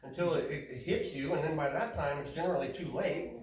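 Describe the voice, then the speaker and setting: A man's voice: fairly high pitch, fast talker, background noise. Frustrated man from North Idaho, far off in an echoey crowded hall. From North Idaho, USA. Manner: animated, frustrated, emphatic. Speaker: audience member. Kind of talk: casual discussion at a town meeting. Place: crowded community meeting hall.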